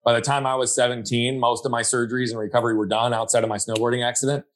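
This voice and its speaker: An earnest man speaking reflectively in a conversational interview. He talks at an average pitch and keeps checking in with the listener. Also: flat delivery, rapid-fire. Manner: earnest, reflective, frequent "right?" tags. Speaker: guest.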